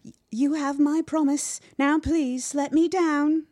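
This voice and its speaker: A woman's voice: fairly even intonation, slow pace, darkish tone, no room sound, clean studio sound. A woman voicing a whining, pleading young boy bully in a children's storybook tale. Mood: desperate pleading. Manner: shrill, pleading, wailing.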